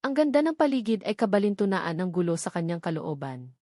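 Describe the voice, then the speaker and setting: A woman's voice: mid-range voice, very animated, brisk, warm tone, no echo. Filipino woman narrating, very dry close sound. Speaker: story narrator. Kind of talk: scripted dramatic narration of a love story.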